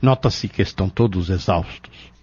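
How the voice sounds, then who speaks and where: A Brazilian man playing a calm, reserved pharmacist in an audio drama, polite and measured with gentle authority. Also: sits low, slightly rough. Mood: reassuring. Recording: dull lo-fi audio.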